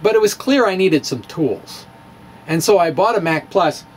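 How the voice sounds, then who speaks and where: A middle-aged man talking in a halting, wry, conversational way as he presents his personal blog on physics into a close mic. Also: sits high, animated, slight background noise. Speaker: amateur physicist and video blogger. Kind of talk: dramatic reading of a personal blog, loosely conversational. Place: small indoor room with bookshelves. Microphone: handheld-style dynamic mic on a stand, close up.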